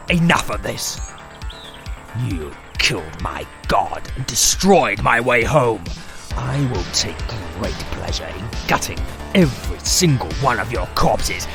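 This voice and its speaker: A man's voice: medium pitch, big pitch swings, slow. An actor playing a fanatical male cultist henchman who snarls threats and insults. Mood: vengeful. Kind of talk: audio drama acting. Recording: music under the voice. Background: music.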